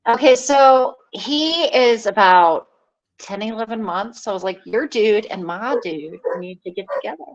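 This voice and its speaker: A woman's voice: mid-range voice, animated, a little slow. A woman chatting casually and informally as a guest on a livestream, through dull lo-fi audio. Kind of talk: casual chat.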